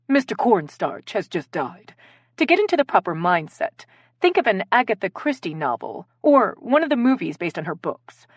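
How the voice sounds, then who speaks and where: A woman's voice: medium pitch, sing-song, moderate pace, dry recording, clean studio sound. A woman narrator voicing a theatrical, commanding woman who leads an improv game in a story. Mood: eager, instructing. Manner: theatrical, directing.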